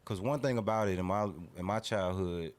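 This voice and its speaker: A man's voice: on the low side, a little fast. A man in his thirties talking casually and earnestly as a podcast guest, opinionated and slangy. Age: 30s.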